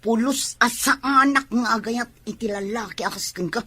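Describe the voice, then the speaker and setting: A woman's voice: fairly high pitch, moderate pace, bright, forceful tone, slightly rough. A Filipino actress playing a brash, scheming young tomboy woman in a radio soap opera. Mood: teasing, brash.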